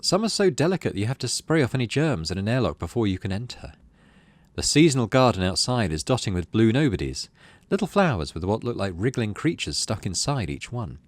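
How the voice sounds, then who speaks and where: A man's voice: fairly low pitch, sing-song, brisk, no room sound. A man hosting a gaming video, reading game text aloud like a storybook and adding casual asides. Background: music.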